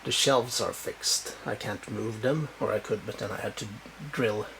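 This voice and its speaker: A man with a Swedish accent, whose first language is Swedish, casually showing his bookshelves at home and talking in halting bursts with many fillers. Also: on the low side, gravelly, a bit bright, slight background noise, poor audio. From Sweden. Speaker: book collector showing his shelves. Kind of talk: casual home video walkthrough. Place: home room.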